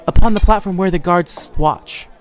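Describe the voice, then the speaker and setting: A woman's voice: lowish voice, normal speed, a little mellow, faint music behind, lightly acted. A woman playing a loyal, anxious young scholar who speaks deferentially in archaic Shakespearean English on a role-play podcast. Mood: respectful.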